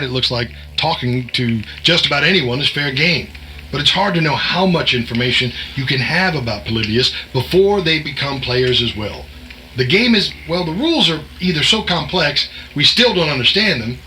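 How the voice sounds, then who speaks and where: A man's voice: mid-range voice, pushed and bright, exaggerated voice, low-quality recording. Male actor as secret agent posing as night cook: folksy, sardonic, glib, very hard-edged tone, slight noise behind. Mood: guarded, casual. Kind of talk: audio drama dialogue.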